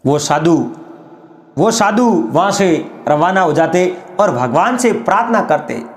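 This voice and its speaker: Slow high-voiced man telling folk tales. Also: lively intonation, echoey room. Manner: addresses listeners as friends, unhurried and drawn out. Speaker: storyteller. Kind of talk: folk story narration.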